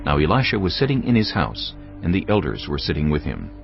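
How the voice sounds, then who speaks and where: A man giving solemn, measured scripted narration for a dramatised audio Bible. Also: very deep voice, sing-song, gravelly. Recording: dull, muffled audio over music.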